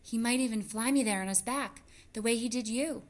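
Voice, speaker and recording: A woman's voice: fairly high pitch, brisk, pitched above the performer's own voice, natural voice. A woman voicing an eager, bold young boy mouse in a storybook, speaking insistently. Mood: determined.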